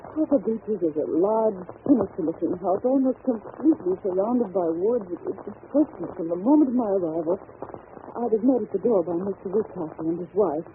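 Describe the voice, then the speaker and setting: A woman's voice: medium pitch, expressive, fairly quick, phone-quality sound, background noise, natural voice. A woman playing an anxious, polite young governess, telling her troubles earnestly in a vintage radio drama on an old recording. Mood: earnest, uneasy. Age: young adult. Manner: earnest, genteel storytelling.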